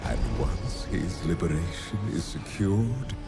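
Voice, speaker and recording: An actor playing a grandiose, sinister aristocratic lord in a video game, pompous, theatrical and deliberate, gloating. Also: male voice, low voice, sing-song, creaky, heavily acted. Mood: triumphant menace. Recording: loud music over game audio. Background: loud music.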